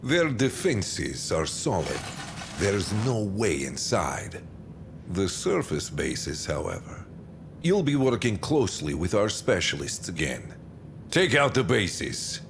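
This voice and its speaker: Calculating low-voiced villain commander. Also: male voice, slight background noise, full character voice. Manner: deliberate, theatrical, with weighty pauses. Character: smooth, calculating male enemy commander, menacing and self-assured. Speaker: military commander briefing a general. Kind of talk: scripted video game cutscene briefing.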